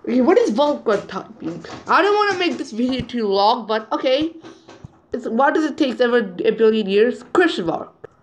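A woman reading group chat messages aloud over a screen recording, in a slow, halting way with muttered asides, on a phone mic. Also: a little high, very animated. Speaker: channel owner.

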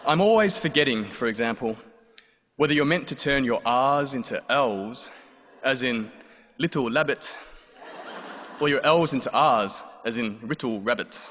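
A man's voice: medium pitch, moderate pace, fairly bright tone. Wry Australian man in an echoing hall. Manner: dry, wry comic delivery. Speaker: author telling a story on stage. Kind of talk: performed personal storytelling. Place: large hall before a live audience. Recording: live hall with long reverb, faint noise.

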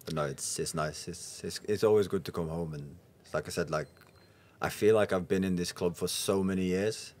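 Male, twenties, very low voice, relaxed podcast guest delivery. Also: normal speed. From Norway. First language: Norwegian. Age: 20s. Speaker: podcast guest, professional footballer. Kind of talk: casual podcast conversation. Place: indoor lounge booth. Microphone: podcast microphone on boom arm.

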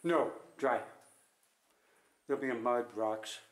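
A man's voice: medium pitch, slow. A man leading a caving trip, talking casually as he explores inside a cave. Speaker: cave expedition leader. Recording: echoey cave, audible background noise.

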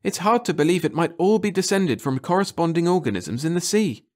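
Male narrator as female aquatic scientist, raised pitch, eager, earnest, storybook character. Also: animated, fairly quick, lightly acted. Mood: awed. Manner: earnest, wonder-struck, pleading. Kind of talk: audiobook character voice.

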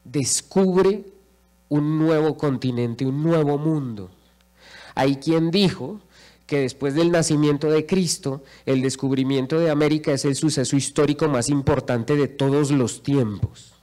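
Middle-aged Colombian man, fairly high voice, rousing lecture into a handheld mic, rhetorical repetition. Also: unhurried, dark tone. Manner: rhetorical, repeats phrases for emphasis, paces the stage. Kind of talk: impassioned public speech. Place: large auditorium stage. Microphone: handheld microphone.

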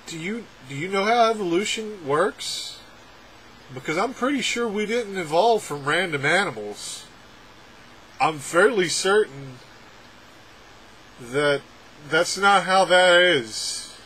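A young adult man reviewing a film from a room at home, talking sarcastically with animated, exaggerated outbursts. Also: high-pitched, slow pace, pauses often, slight background noise, poor audio. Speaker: movie reviewer. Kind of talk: casual ranting film review. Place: home room with packed shelves, indoors. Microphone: webcam framing at desk.

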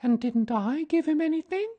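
A man's voice: extremely high pitch, lively intonation, measured pace, highs cut off, voice pushed up. A man voicing small, timid, earnest young storybook characters. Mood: plaintive, earnest.

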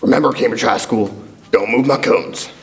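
A man's voice: mid-range voice, normal speed, natural voice. Man doing a bossy construction worker puppet, music blaring. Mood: bossy, insistent. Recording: loud music bed over voice.

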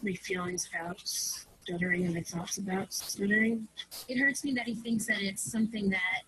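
A woman's voice: mid-range voice, fairly even intonation, normal speed, sharp tone, slight background noise, rough recording. A young woman who stutters, speaking in a hesitant, self-conscious way for a student documentary. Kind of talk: documentary voice-over and scenes.

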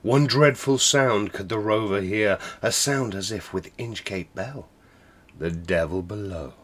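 A man storyteller reciting a narrative ballad in a theatrical manner, swelling from hushed to booming. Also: unhurried.

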